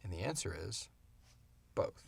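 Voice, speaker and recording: A man in his thirties hosting a casual chat about making an audio show, animated and informal as he tells stories and answers questions. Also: low-pitched, a little slow, vocal fry, slight background noise. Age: 30s. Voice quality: wheezy breathing. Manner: animated storyteller, acts out sounds and swears casually. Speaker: podcast host and audio drama creator.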